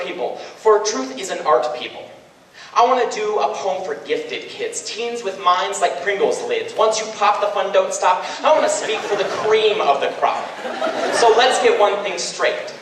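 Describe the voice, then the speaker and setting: A man's voice: high voice, fairly bright tone, slight background noise. A young man reciting slam poetry live as a student poet, with a rhythmic, emphatic delivery. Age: young adult. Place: large indoor ceremony hall. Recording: very echoey hall, distant microphone.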